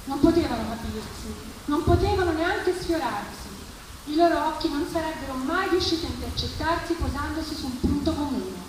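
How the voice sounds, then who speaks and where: A woman giving an expressive, theatrical reading of literary prose at a live event. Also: sits high, moderate pace. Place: live public reading at a festival, distant mic. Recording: echoey, distant, noisy live event recording.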